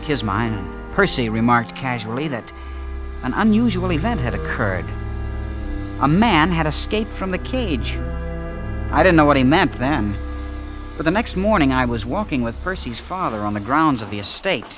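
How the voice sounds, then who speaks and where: A man's voice: medium pitch, very animated, normal speed, over music, close to an everyday voice. An actor playing an earnest, bashful small-town young man, hesitant and stammering, in old-time radio drama style. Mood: uneasy recounting.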